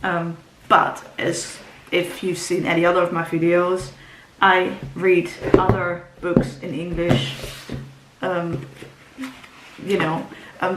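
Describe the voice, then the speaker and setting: Young woman, rambling book chat, lots of ums, lowish voice, roomy echo. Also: little pitch movement, slow pace, background noise. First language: Dutch. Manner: rambling, frequent ums and restarts. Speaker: book vlogger. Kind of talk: casual book talk to camera. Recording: roomy echo, faint ambience.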